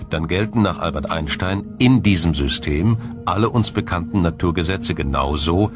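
Low-voiced man narrating a documentary over music. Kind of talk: scripted German science documentary narration.

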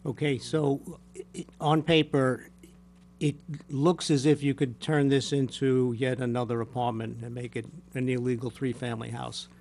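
An older man speaking as a board chair, courteous and measured as he puts questions and handles formal procedure at a public meeting. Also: medium pitch, little pitch movement, vocal fry, darkish tone. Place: municipal meeting room. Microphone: gooseneck desk microphone. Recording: faint background hum.

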